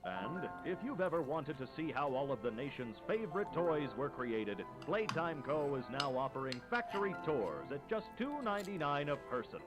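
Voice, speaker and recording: A man playing an upbeat toy factory announcer in a vintage commercial, with a showy, enthusiastic sales pitch. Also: big pitch swings, moderate pace, few pauses, over loud music.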